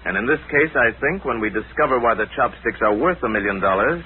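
A man plays a composed, shrewd hero of a vintage radio mystery. His medium-pitched voice moves up and down freely through a thin, hissy old recording. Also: animated, fairly quick, phone-quality sound, close to an everyday voice. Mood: calm, probing. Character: calm, shrewd American crime-fighting hero. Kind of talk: 1940s radio acting.

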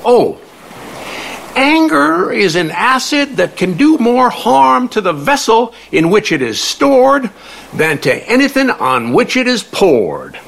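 A man's voice: very high-pitched, wildly swooping pitch, creaky, a bit bright, exaggerated voice. A man acting a wry, elderly, folksy confidant who speaks in aphorisms in an audio play. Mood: wry, philosophical. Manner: folksy, slow, quoting aphorisms.

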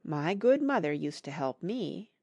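A patient, middle-aged mother from a classic storybook, acted by a woman reader. She gives tender, humble counsel with expressive intonation and a creaky edge. The recording is very dry. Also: sits low, no echo, exaggerated voice. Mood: reflective, tender. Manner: soft, tender, measured moral counsel. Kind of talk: acted audiobook dialogue from a classic novel.

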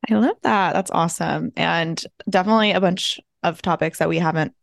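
Gravelly low woman co-host. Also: fairly quick, dry recording, clean studio sound. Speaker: podcast co-host. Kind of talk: casual interview hosting.

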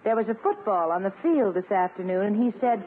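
A woman's voice: medium pitch, animated, fairly quick, natural voice. An actress playing a poised, amused, flirtatious woman with a coy, teasing manner in a 1950s radio comedy. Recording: narrow-band old radio recording, faint noise.

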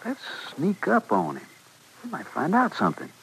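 A greedy small-town schemer in an old radio western. He talks with a rural American Western accent, furtive and eager, his pitch leaping about. The recording is clearly noisy. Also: wildly swooping pitch, normal speed, heavily acted. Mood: furtive, scheming. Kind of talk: 1950s radio western acting.

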